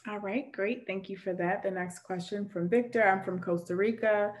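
A woman's voice: mid-range voice, fairly even intonation, normal speed. A young woman moderating an online Q&A over a video call from a room at home. She explains admissions steps and relays questions from the chat. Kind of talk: informational webinar Q&A. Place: home room with framed pictures.

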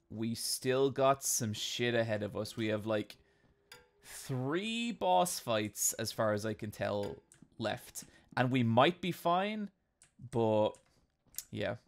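Chatty Irish-accented man streaming a game. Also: medium pitch. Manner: chatty, reads and answers chat, swears casually. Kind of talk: casual live-stream commentary while playing. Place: home bedroom. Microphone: desk mic with webcam.

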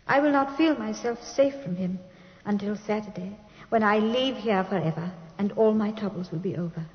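A woman's voice: medium pitch, normal speed, lightly acted. Actress as refined young British lady, echoing hall. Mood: composed, uneasy. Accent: British English. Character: refined, anxious but resolute young gentlewoman. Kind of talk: 1950s radio drama, letter read aloud. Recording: muffled 1950s radio, long reverb.

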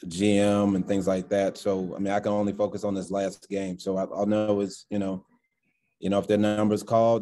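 Man, thirties, basketball coach, low voice, monotone, halting with fillers, video call. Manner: measured, halting, many fillers and restarts. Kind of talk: post-game press conference answers. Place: indoors in front of a sponsor backdrop. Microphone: video call, framed at desk distance.

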